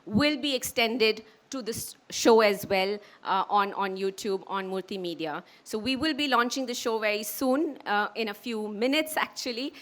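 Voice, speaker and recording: Slightly high-voiced Indian woman presenting. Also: moderate pace, hardly any pauses. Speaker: presenter launching a show. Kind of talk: public launch speech.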